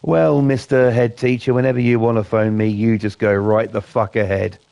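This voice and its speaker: A man hosting casual gameplay commentary, blunt and defiant, his voice croaky from a cold. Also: fairly low pitch, steady tone, moderate pace, darkish tone, dry recording. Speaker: gaming channel host.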